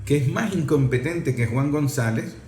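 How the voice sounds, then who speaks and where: A man's voice: a little high, expressive, normal speed, a little mellow. A man giving unscripted political commentary in an emphatic, opinionated and explanatory manner. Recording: echoey room, faint background noise.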